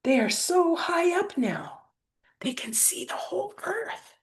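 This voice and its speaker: A woman's voice: very high-pitched, big pitch swings, slow. An older woman reading a children's picture book aloud expressively, like a grandmother pointing out pictures to a child.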